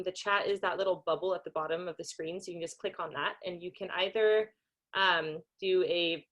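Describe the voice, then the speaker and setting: A woman from Denmark who grew up in Singapore and the US, hosting a conference welcome over a video call in a casual, informal way with many ums and small laughs. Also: lowish voice. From Denmark, raised in Singapore and the US. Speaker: conference organizer and host. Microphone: computer microphone on a video call.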